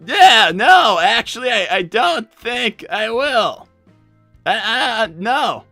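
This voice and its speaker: A man's voice: very high voice, big pitch swings, slow pace, sharp tone, no echo, faint music behind, exaggerated voice. A man voicing an anxious, easily flustered young cartoon character who stammers and repeats protests in improvised livestream banter. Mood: flustered, exasperated.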